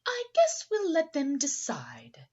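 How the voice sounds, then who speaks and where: A woman's voice: high-pitched, huge pitch swings, slow pace, a little mellow, muffled recording, voice pushed up, heavily acted. A woman voicing a worried, earnest mother bulldog in a storybook, speaking dramatically. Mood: resigned.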